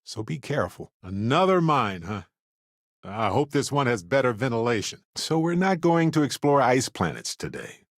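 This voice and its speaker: An actor playing a wry, good-humored space explorer companion in a video game, talking in an expressive, conversational way. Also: male voice, mid-range voice, normal speed, no echo, clean studio sound. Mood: cautious. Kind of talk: video game character voice lines.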